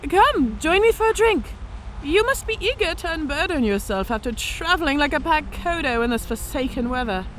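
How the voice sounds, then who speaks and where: A confident female orc centurion played by a woman for a fantasy audio drama. Her voice is high and animated in pitch, with a playful, teasing, hospitable manner. She speaks like an officer at ease with her own magical skill. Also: normal speed, slight background noise. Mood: inviting, probing. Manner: playful, self-assured, hospitable.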